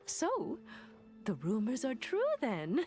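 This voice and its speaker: An actress playing an eager, inquisitive, gossipy female merchant in a video game, with an animated, polite and teasing manner. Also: fairly high pitch, takes it slowly, soft background music.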